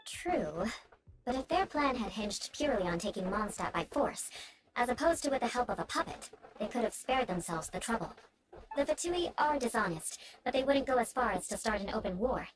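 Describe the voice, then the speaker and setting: A woman's voice: on the high side, lively intonation, moderate pace, noisy background, close to an everyday voice. A woman voicing a proud, vengeful young female knight in a video game, with haughty, theatrical, formal delivery. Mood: serious, measured.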